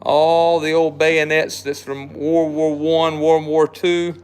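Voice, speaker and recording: Southern male shop host, Mississippi accent, smooth, rambling, casual live chat. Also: fairly high pitch, level tone, slow, a little mellow. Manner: rambling, repeats words, reads viewer comments. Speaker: livestream host, knife shop owner.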